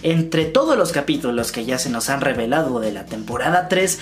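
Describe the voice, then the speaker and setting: A man's voice: medium pitch, big pitch swings, normal speed. A man, hosting a video of fan news and theory commentary, talking to camera in a small room. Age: 20s. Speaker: YouTube host. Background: music.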